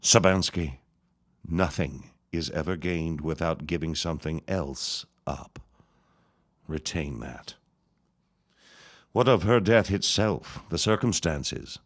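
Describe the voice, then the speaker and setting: Slow deep evil old preacher, grandiose and sneering, radio play style, really dry close sound. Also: male voice, dry recording. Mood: cold, menacing. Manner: pompous, florid, theatrical, sneering. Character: scheming, vengeful elder reverend and landowner, grandiose villain. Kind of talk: period radio drama acting.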